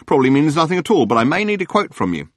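A man voicing a gushing, fast-talking male tabloid journalist who babbles silly nicknames in a breathless torrent. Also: a little high, sing-song, dry recording, clean studio sound, voice pushed up. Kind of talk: audiobook character voice.

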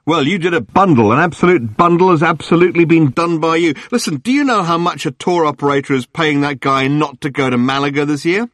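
A man voicing a gushing, fast-talking male tabloid journalist who babbles silly nicknames in a breathless torrent. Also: a little high, fairly bright tone, dry recording, clean studio sound, pitched above the performer's own voice, lightly acted. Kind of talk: audiobook character voice.

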